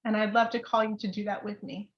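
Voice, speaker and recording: A woman's voice: medium pitch, little pitch movement, fast talker. A young woman giving an informal, heartfelt sermon as a church speaker, with an earnest, affectionate manner. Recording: roomy echo, dull lo-fi sound.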